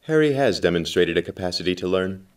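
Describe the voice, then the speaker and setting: A man's voice: sits low, expressive, a little fast, recorded from a distance. A man playing a polite, honest station computer with a formal manner, in a science fiction audio drama.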